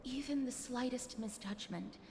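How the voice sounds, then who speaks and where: An actress playing a cautious, courteous young noblewoman in a video game, speaking softly and formally. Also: fairly high pitch, a little slow, noisy background. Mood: cautious warning. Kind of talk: dramatic video game voice acting.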